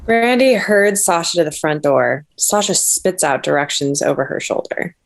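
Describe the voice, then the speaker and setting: A woman reading the stage directions of a comedy at a table read with a lively, comic delivery. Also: low voice, moderate pace, gravelly. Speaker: narrator.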